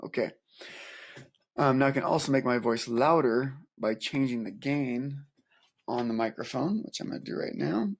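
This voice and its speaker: Soft-voiced man with a gravelly husky tone. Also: mid-range voice, moderate pace. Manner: calm step-by-step explaining, counting aloud. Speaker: music teacher. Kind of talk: screen-recorded software tutorial.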